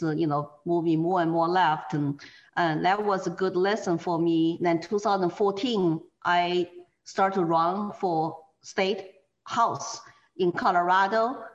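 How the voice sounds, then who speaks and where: A woman from China with a Chinese accent, speaking as an interview guest, earnest and animated, with many fillers and restarts. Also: on the low side, unhurried, lo-fi sound. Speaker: guest, former political candidate. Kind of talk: interview over a call.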